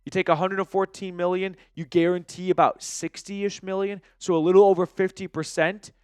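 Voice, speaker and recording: A man's voice: high voice, little pitch movement, brisk, darkish tone, smooth, no room sound. An American man hosting a sports show, giving opinionated, emphatic commentary point by point in a conversational style aimed at viewers.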